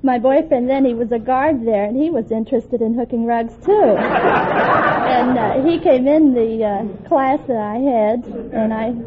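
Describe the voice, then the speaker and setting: A woman's voice: a little high, measured pace. A woman answering as a quiz contestant in a casual, hesitant, giggly way. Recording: tinny old radio recording, loud noise, audience. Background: crowd laughter and heavy noise.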